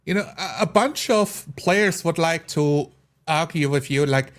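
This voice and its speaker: A man's voice: fairly high pitch, measured pace. A man talking casually in a group discussion as a tabletop role-playing player.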